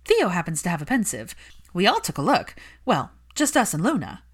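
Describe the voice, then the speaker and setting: A woman's voice: lowish voice, big pitch swings, normal speed, husky. A woman voicing a bold, sly, teasing young witch in a story reading, pushy and unapologetic.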